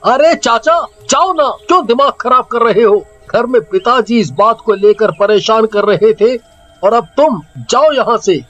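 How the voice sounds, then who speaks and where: A man's voice: extremely high pitch, animated, unhurried, a bit bright, full character voice. A man playing a brusque, irritable young villager in a horror story. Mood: annoyed. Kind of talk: acted Hindi horror audio story. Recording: dull lo-fi sound with music.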